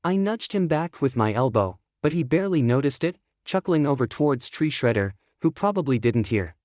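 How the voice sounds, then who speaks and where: A man's voice: medium pitch, big pitch swings, normal speed, a little mellow, dry recording, studio quality. A man reading scripted first-person story narration as the author of a fantasy audiobook.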